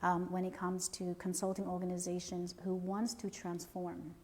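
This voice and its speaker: Measured woman, echoing hall. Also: fairly low pitch, monotone, mellow. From born in Cambodia, raised in the United States. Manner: measured and polite, many "um" pauses. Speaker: guest, company founder and CEO. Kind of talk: interview answers. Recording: long hall reverb.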